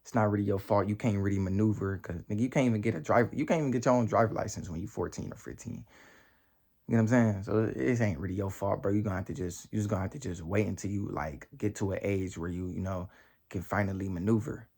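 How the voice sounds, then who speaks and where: A nineteen-year-old man giving a motivational talk, emphatic and repetitive, with heavy slang and swearing, his voice climbing high and loud when he presses a point. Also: steady tone, fast, gravelly. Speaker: motivational vlogger.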